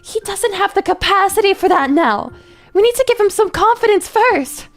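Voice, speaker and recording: A woman's voice: extremely high pitch, animated, moderate pace, faint music behind. A woman playing an anxious mother in a drama, coaxing and fussing over her troubled eldest son with pleading insistence. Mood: upset. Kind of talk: dramatic character acting.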